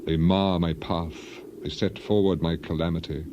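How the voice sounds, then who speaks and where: A man's voice: low voice, little pitch movement, normal speed, lo-fi sound, background noise, lightly acted. A man voicing a grieving, dignified biblical elder in a solemn, lamenting, declamatory dramatized reading. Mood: anguished.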